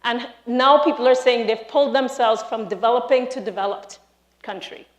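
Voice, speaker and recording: A woman from Trinidad with a Trinidadian accent, a lecturer giving a lively, emphatic live talk to an audience in a large hall. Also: on the high side, moderate pace. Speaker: speaker, university lecturer.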